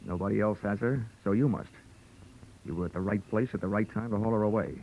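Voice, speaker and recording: An actor playing a wry, hard-boiled insurance investigator with an American accent and deadpan wit, in 1940s radio detective drama style. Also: male voice, fairly low pitch, quick pace, a little hiss or hum, natural voice, low-quality recording. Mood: dry, calm. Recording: old 1940s radio broadcast recording.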